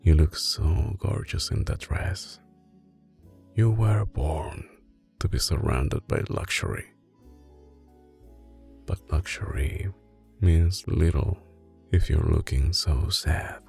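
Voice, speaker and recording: A man playing a soft-spoken mafia boss boyfriend in an ASMR roleplay, menacing yet doting, with an Italian accent and a deep, creaky, intimate delivery. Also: expressive, full character voice. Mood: tender, doting. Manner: slow, deliberate, controlled, intimate. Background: music.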